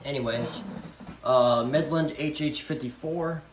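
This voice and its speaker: A man talking casually off the cuff in a halting, offhand way, showing items from a collection. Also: mid-range voice. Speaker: vlogger showing a radio collection. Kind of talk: casual unscripted product show-and-tell. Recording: echoey room, background noise.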